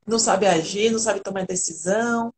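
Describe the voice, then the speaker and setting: A woman's voice: mid-range voice, a little fast, slight background noise. A woman from Argentina, an integrative therapist, telling her personal story earnestly and candidly as a guest on a live video call.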